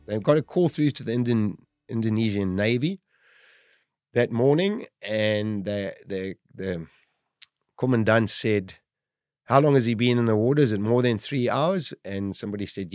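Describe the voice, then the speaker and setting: A South African man in his fifties telling his own survival story in a loud, animated way. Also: moderate pace, no room sound, studio quality. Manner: loud, animated storytelling with big pitch jumps. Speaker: guest storyteller.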